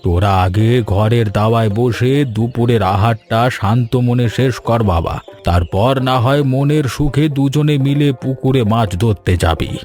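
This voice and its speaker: A man plays an older father-in-law in an animated village tale. He is paternal and indulgent, admonishing with patient concern. Soft music sits behind the voice. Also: mid-range voice, normal speed, close to an everyday voice. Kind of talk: animated folk-tale dialogue.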